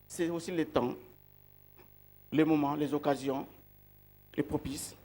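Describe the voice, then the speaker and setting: A man giving a sermon who grew up outside Belgium. He speaks slowly and deliberately, repeats key words and asks his listeners rhetorical questions. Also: on the high side, steady tone, dark tone. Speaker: preacher.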